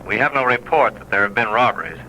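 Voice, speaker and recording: An actor playing a clipped, matter-of-fact police lieutenant in a 1940s radio crime drama. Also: male voice, medium pitch, expressive, fast talker, pushed and bright. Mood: businesslike. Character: brisk, measured male police lieutenant. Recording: muffled, noisy old radio recording.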